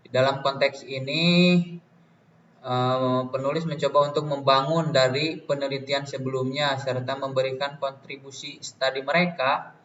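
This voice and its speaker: A young man giving a student presentation from prepared notes in a halting manner. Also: mid-range voice, little pitch movement, a bit bright. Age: young adult. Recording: dull, distant, roomy, faint noise.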